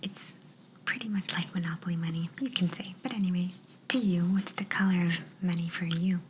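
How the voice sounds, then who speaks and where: A woman's voice: lowish voice, steady tone, fairly quick, slight background noise. A woman whispering breathily and playfully as a quirky job interviewer in an ASMR roleplay, close to the microphone. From Canada. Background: writing sounds.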